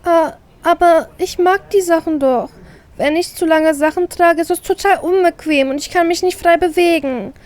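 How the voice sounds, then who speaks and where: A woman's voice: very high voice, moderate pace, a little mellow, voice pushed up. A woman voicing a dramatic young little sister who pouts and pleads in a whiny way. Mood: wheedling. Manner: whiny pleading, exaggerated pouting.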